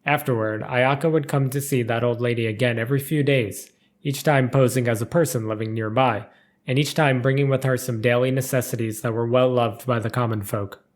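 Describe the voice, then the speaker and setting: A man narrates a written story in a mid-pitched voice. His delivery is careful and formal, following the script as a storybook reader would. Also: fairly even intonation, fairly quick.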